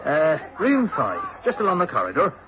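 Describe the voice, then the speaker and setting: An actor playing a friendly, obliging innkeeper with a Cornish accent and a folksy, chatty way of talking in a radio drama. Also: male voice, a little high, huge pitch swings, normal speed, background noise. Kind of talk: mid-century radio drama acting. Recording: dull old radio recording.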